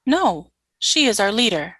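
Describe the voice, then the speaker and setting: A woman playing a flat, obedient alien soldier. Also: medium pitch, measured pace, breathy edge, dry recording, clean studio sound, full character voice. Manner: flat, literal short replies. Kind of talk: amateur radio play.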